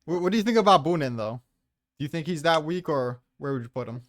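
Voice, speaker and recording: Dude hosting a casual debate, voice a bit high, blunt n sweary, very dry sound. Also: male voice, animated, quick pace, no echo. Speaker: host presenting his tier list.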